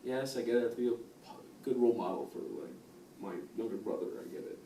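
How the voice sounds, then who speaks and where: A man's voice: mid-range voice, quick pace, dark tone, close to an everyday voice. A man playing a defensive sixteen-year-old son in family therapy, in an amateur role-play. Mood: grudging, subdued. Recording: roomy, distant mic with faint noise.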